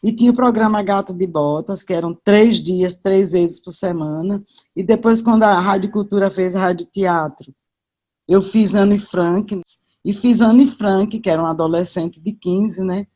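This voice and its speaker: A woman's voice: mid-range voice, normal speed, warm tone. An older woman with a Northeastern Brazilian accent, reminiscing casually as an interview guest, chatty and full of asides and tag questions.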